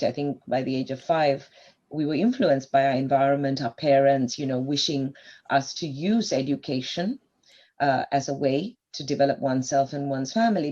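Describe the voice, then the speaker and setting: A woman's voice: deep voice, darkish tone, highs cut off. A woman in her fifties from Sri Lanka, a doctor guest on a podcast, reflectively telling her life story at length. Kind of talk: podcast interview.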